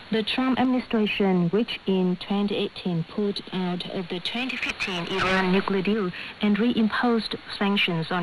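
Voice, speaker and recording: Over a shortwave broadcast with faint noise, a woman reads the news. Her pitch sits in the middle range for a woman. She delivers scripted copy in the role of a radio newsreader.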